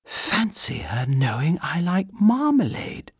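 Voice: mid-range voice, animated, measured pace, a little mellow, slightly rough, no room sound, studio quality, voice pushed up. A performer voicing a small, innocent young male bear, polite, earnest and a little hesitant, in a storybook reading. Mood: pleased.

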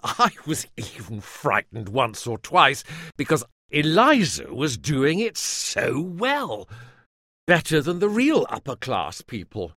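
A mild, tired older gentleman in a storybook, as a man voices him. He drawls very slowly, with a pitch that rises and falls a great deal. The recording is dry and close. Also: wildly swooping pitch, no echo, exaggerated voice. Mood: tired pleased. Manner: slow, drawling. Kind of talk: storybook reading.

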